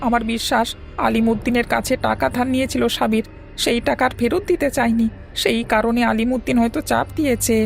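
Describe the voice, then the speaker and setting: A woman's voice: on the high side, fairly even intonation, fairly quick, vocal fry, soft background music. A woman voicing an earnest, loyal younger village sidekick in a cartoon, eager and pleading. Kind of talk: cartoon story voice acting.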